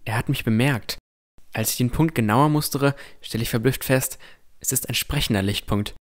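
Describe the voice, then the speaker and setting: A man's voice: medium pitch, moderate pace. A man reading scripted first-person narration as the narrator of a fantasy audio drama.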